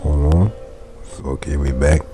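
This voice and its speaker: A man's voice: very deep voice, fairly even intonation, warm tone, soft background music. A man reading a Latin textbook aloud, translating line by line and correcting himself as he goes. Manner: slow, stops to correct and gloss words. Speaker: Latin tutor.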